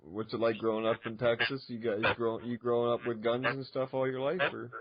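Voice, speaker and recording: A man's voice: medium pitch, little pitch movement, brisk, slight background noise. A Canadian man interviewing a guest in a loose, casual, conversational style. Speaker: radio interviewer. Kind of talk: casual, profane interview chat. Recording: dull, lo-fi close recording.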